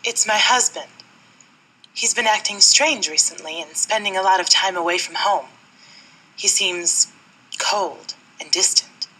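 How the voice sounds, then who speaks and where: Woman acting as a troubled detective's client, husky, bright forceful tone, earnest pleading, noir radio drama. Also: mid-range voice, unhurried, low-quality recording. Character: troubled female client pleading with a private eye. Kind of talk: film noir radio theater dialogue.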